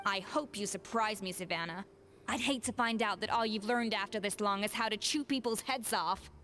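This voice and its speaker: An actress playing a calm, composed female former head chef in a game, who speaks in a measured, dry way. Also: medium pitch, faint music behind, lightly acted. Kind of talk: game voice acting.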